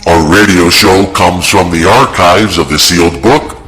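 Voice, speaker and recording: A man's voice: low-pitched, unhurried, a bit bright, soft background music. A man voicing a spooky, menacing ghost host, giving a scripted horror-radio introduction in a theatrical, sinister manner. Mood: sinister welcome.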